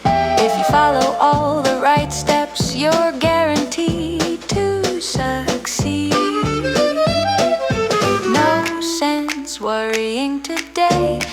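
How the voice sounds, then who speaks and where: A woman's voice: sits high, drawn-out words. A woman singing cheerfully in a retro big-band style. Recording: loud musical backing. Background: music.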